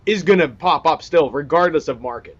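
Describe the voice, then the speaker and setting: Man, interviewer, raised pitch, casual chat, informal, halting phrasing, muffled lo-fi audio. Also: animated. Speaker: interviewer, digital marketer. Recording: dull, lo-fi sound.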